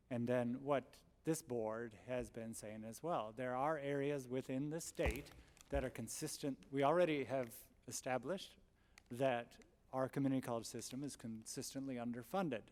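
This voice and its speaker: Man, formal board testimony, emphatic, measured, reverberant meeting hall. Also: mid-range voice, a little mellow. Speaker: college district presenter. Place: large public meeting hall.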